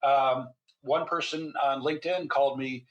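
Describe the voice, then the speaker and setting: A man's voice: mid-range voice, steady tone, normal speed, highs cut off. An older man speaking as an interview guest, telling anecdotes in a rambling, conversational way. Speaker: guest author and physician.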